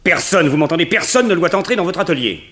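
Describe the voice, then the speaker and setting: An actor playing a cold, domineering villain who commands and interrogates in a 1960s radio drama. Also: male voice, a little high, expressive, quick pace, a bit bright. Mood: imperious, menacing. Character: cold domineering male villain, a hypnotist master giving orders.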